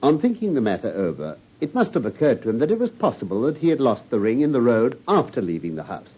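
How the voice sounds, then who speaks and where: A man's voice: medium pitch, sing-song, fast, breathy edge. An actor playing a brilliant, confident male detective in an old radio drama. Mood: assured. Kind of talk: 1950s BBC radio drama acting. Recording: dull lo-fi old radio recording.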